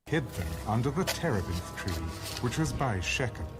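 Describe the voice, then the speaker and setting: A man reading scripted audio Bible narration in a measured, formal way. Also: mid-range voice, lively intonation. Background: music.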